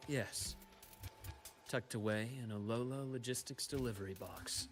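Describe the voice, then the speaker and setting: An actor playing a composed, bitter man who survived research, speaking in measured, understated video game dialogue. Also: medium pitch, lightly acted. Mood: grave, measured. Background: game music.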